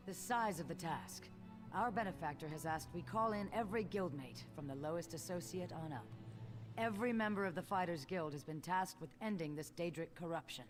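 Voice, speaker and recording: An actor, a woman, playing an earnest, newly appointed guildmaster in a fantasy game, speaking in a measured, formal way. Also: on the low side, close to an everyday voice. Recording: game music underneath.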